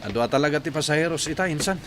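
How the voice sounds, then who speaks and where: A man's voice: a little high, rapid-fire, crowd noise, close to an everyday voice. A man playing a heartbroken, hard-drinking young jeepney driver in a radio drama, with a Filipino accent. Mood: casual.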